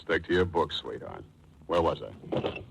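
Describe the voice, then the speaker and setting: An actor playing a wry, clipped, world-weary private detective in the style of 1940s radio drama. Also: male voice, very deep voice, big pitch swings, unhurried, gravelly, background noise, exaggerated voice. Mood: brisk dictating.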